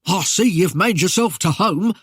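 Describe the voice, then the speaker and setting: Male reader doing a whiny, blustering small-town mayor, pitched up high and pushed loud. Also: animated, moderate pace, slightly rough, dry recording, studio quality, heavily acted. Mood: wheedling. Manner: whining, wheedling, coarse dialect speech. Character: blustering, greedy, cowardly middle-aged male mayor. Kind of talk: audiobook character voice.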